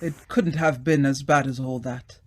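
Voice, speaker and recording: An actor playing a breezy, affable British gentleman in old-time radio drama style, hearty and polite, with old-fashioned phrasing. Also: medium pitch, fairly even intonation, normal speed, close to an everyday voice. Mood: affable, curious.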